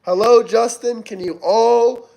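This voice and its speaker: A man's voice: extremely high pitch, steady tone, slow pace, gravelly, a little mellow, poor audio. A man in his twenties from Florida, hosting a casual livestream and answering viewer chat as he reads it out. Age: 20s. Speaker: livestream host.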